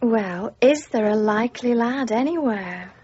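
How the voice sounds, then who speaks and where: A woman's voice: fairly high pitch, lively intonation, unhurried, slightly rough. A woman playing a vain, coquettish young village beauty who teases and scolds her suitor in a radio drama. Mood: preening. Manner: coquettish, sharp-tongued, sing-song. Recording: dull lo-fi 1990s broadcast.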